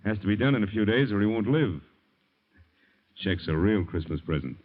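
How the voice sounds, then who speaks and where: An actor playing a tough ex-police detective who talks in blunt, hard-boiled slang, in 1940s radio acting. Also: male voice, on the low side, animated, moderate pace. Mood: grateful. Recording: muffled 1940s radio broadcast.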